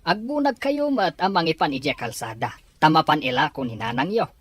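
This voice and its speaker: Stern farmer father, Ilocano accent, tired, radio drama, animated intonation. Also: on the high side, normal speed, breathy edge. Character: weary, stern old farmer father with aching legs.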